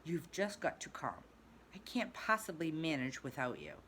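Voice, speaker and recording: Woman doing a bragging storybook toad, loud and gushing, lively sing-song delivery. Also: sits low, moderate pace, slight background noise. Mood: exuberant. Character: boastful excitable rich male toad.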